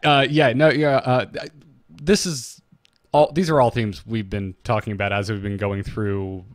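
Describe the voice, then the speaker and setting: A man co-hosting a podcast, talking casually and off the cuff in a halting, wry, conversational way. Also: on the low side, steady tone, no echo. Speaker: podcast co-host. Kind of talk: casual, unscripted fan discussion.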